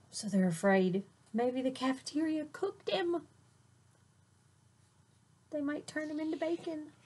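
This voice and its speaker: A woman's voice: high-pitched, slow pace, long pauses, darkish tone. A woman reading a children's picture book aloud like a teacher, with dramatic delivery and chatty asides to young listeners.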